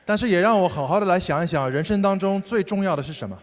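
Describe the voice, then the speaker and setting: A man renders a preacher's words very quickly and fluently, in a slightly high voice. His lines arrive in brisk bursts between the speaker's phrases. A large hall's long reverb surrounds him. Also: lively intonation. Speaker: interpreter. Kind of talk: consecutive sermon interpretation. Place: large church hall. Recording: hall reverb.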